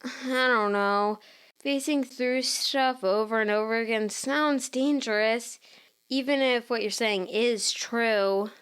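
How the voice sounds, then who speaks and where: A teenage girl trainer, played by a woman narrator, cautious and a little confused. Her voice sits high and she speaks slowly, questioning things in a measured way as she tries to keep the peace in a dramatised story. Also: fairly bright tone, smooth. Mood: hesitant. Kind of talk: dramatised fanfiction reading.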